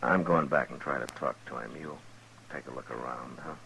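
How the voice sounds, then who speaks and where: Very deep, gravelly American frontier marshal. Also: male voice, sharp tone, heavily acted, low-quality recording. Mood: grave, measured. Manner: terse, authoritative, hesitant pauses. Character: stern, weary frontier US marshal. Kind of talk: 1950s radio drama acting. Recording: hissy 1950s radio recording.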